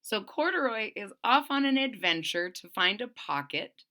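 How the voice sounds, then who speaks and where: A woman's voice: mid-range voice, big pitch swings, normal speed, pushed and bright. A woman reading a children's story aloud expressively and retelling it in simple words.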